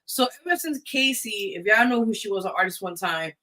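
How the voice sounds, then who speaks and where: A woman's voice: medium pitch, expressive, a little fast, bright, forceful tone, low-quality recording. A woman hosting a casual live talk show, speaking in an emphatic, blunt way. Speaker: radio show host.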